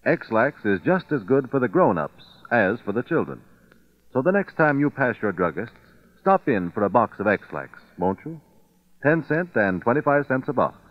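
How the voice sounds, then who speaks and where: A man delivering a scripted old radio advertising pitch, coaxing the listener directly. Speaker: commercial announcer. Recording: muffled, dry vintage radio recording.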